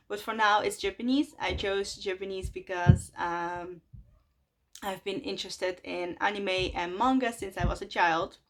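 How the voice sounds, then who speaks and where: A woman in her twenties from Russia, a native Russian speaker talking casually in English to her vlog audience, with many fillers and soft laughs between phrases. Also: mid-range voice, gravelly. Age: 20s. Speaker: vlogger. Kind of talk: casual chat to camera.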